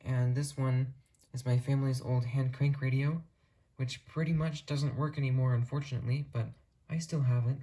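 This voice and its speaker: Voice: medium pitch, flat delivery, moderate pace, mellow. A hobbyist narrating a casual show-and-tell video, explaining things in a detailed, matter-of-fact way.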